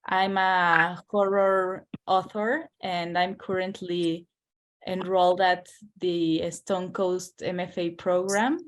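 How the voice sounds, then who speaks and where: A woman's voice: fairly low pitch, flat delivery, slow pace. A woman from Mexico City, informally moderating an author panel.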